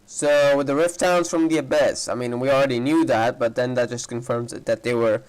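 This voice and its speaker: A man's voice: medium pitch, fairly even intonation, a little fast, smooth, rough recording. A man doing casual playthrough commentary, thinking aloud and speculating as he reacts. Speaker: gaming video creator. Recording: voice over background game music.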